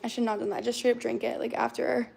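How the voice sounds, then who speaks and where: A raspy, gravelly-voiced woman in her twenties, a bit hoarse, chatting casually through a livestream. She talks a little higher than most women and peppers everything with "like". Faint background noise sits under her voice. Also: little pitch movement, quick pace, darkish tone. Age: 20s. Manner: chatty, lots of fillers like "like". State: slightly hoarse.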